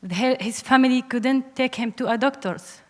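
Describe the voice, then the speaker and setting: A young woman with a Syrian Arabic accent speaks in a fairly high voice. Her English is halting and earnest, and the hall rings with long reverb around her. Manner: halting non-native English. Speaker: audience member, film student. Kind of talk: earnest question from the floor. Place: large panel hall, public address microphone.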